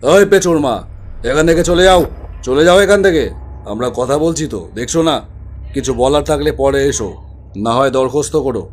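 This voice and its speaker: A man's voice: mid-range voice, animated, moderate pace, pauses often, close to an everyday voice. Know-it-all older uncle in a cartoon, voiced by a man, firm and scolding. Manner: scolding, explaining. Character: older uncle, ghost keeper, bossy and knowing.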